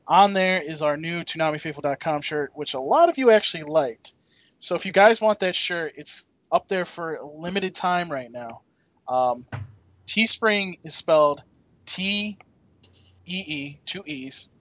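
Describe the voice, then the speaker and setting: A man with a fairly high voice hosts a podcast in a loose, joking style with some salty language. The sound is dry and a bit dull, like a lo-fi voice track. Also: fairly bright tone, dry recording. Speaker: podcast host. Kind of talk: casual podcast chat.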